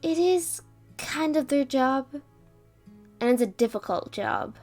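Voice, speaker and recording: A woman plays a caring, overworked female doctor in an audio roleplay. Her voice is high and she takes her time over each phrase, deliberate and protective. Faint music plays behind her. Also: animated, darkish tone, exaggerated voice. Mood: gentle, serious. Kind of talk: amateur audio roleplay drama.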